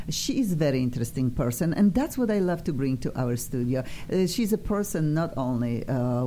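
A woman's voice: deep voice, warm tone, slight background noise. A woman with a strong Polish accent, hosting a live radio talk show in a studio, gushing with enthusiasm and praise. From Poland.

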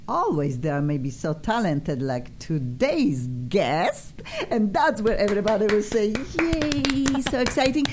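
A woman's voice: medium pitch, a little mellow, a little hiss or hum. A woman with a strong Polish accent, hosting a live radio talk show in a studio, gushing with enthusiasm and praise. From Poland.

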